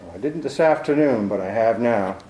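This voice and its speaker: An actor playing a tough private detective in a 1940s-style radio play, clipped and sardonic. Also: male voice, mid-range voice, lively intonation, a little fast, dark tone, roomy sound, natural voice, rough recording. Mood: businesslike.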